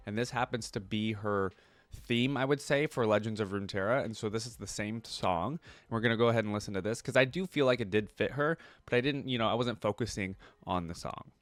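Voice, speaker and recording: A man's voice: on the low side, dry recording. Enthusiastic man, rambling reaction host. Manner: enthusiastic, rambling, many fillers. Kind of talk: casual reaction commentary.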